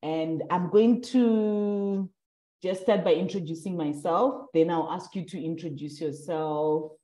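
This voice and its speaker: A woman's voice: lowish voice, measured pace, mellow, echoey room. A young adult woman from Southern Africa, interviewing in a casual, hesitant way with many fillers.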